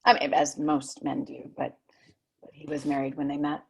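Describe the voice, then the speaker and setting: An actress playing a self-effacing, shy, nerdy woman with a halting, awkward way of talking in a script read. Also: low-pitched, completely flat delivery, creaky, breathy edge, exaggerated voice. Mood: chatty. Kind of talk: table read of a screenplay, romantic banter.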